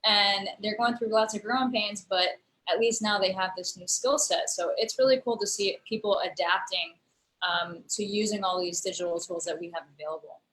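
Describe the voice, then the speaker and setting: A woman's voice: mid-range voice, steady tone, normal speed, fairly bright tone, echoey room, rough recording. A woman, a guest on a remote interview, explaining her work at length, thoughtful and full of fillers, heard over a video call. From United States. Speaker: guest, digital storyteller and musician. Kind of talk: casual interview conversation.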